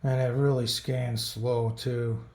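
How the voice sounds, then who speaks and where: A man with a gravelly, creaky voice reviews a product at a slow pace. He rambles casually, thinking aloud as he goes. He tends to grumble about whatever he dislikes. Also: medium pitch, flat delivery, darkish tone, echoey room. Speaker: radio reviewer.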